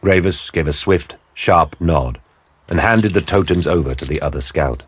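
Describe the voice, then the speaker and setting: A man narrating an audio drama in a deep, husky voice with grave, deliberate storytelling. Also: slight background noise.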